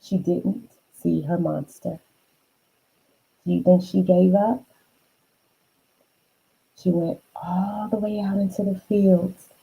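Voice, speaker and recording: A woman reading a children's storybook aloud, unhurried, with dramatic emphasis and chatty asides to the listener. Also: on the low side, fairly even intonation, gravelly, husky, muffled recording.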